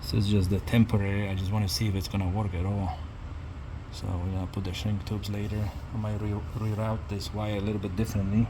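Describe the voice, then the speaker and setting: A man narrating a casual hands-on repair tutorial, speaking haltingly and repeating words as he works. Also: on the low side, level tone, background noise. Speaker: hobbyist demonstrating a radio repair.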